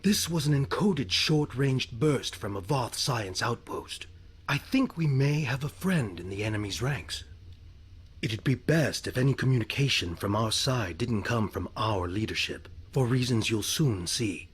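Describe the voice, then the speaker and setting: An actor playing a calm military officer giving a measured, authoritative briefing in game dialogue. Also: male voice, medium pitch, slightly rough.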